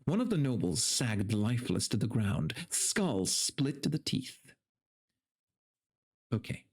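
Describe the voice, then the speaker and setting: A man's voice: medium pitch, big pitch swings, takes it slowly, breathy edge. A man streaming, chatting in a rambling, informal way that is loose with swearing, and reading a story aloud. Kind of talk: casual livestream chat and dramatic story reading. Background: background game music.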